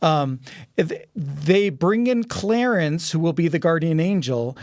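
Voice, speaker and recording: A man's voice: a little high, lively intonation, unhurried, a little mellow, no echo, studio quality. A man talking conversationally as a podcast guest, telling things like a story and acting out quotes. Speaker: podcast guest, audio drama producer. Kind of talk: conversational film discussion.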